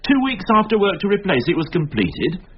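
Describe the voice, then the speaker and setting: Irascible police inspector, wildly swooping delivery. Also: male voice, high voice, sing-song, a little fast, husky, heavily acted. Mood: exasperated. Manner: brusque, sarcastic, impatient. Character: irascible, exasperated police inspector barking at subordinates. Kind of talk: radio crime drama acting. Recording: dull radio recording with noise.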